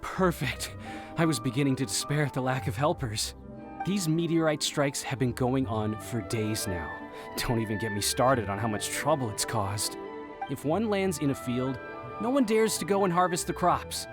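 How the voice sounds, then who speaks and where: A man's voice: medium pitch, lively intonation, normal speed, close to an everyday voice. An actor playing a harried, fretful village man in a video game, with music behind him. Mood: exasperated. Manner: fretful, complaining. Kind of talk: video game character acting.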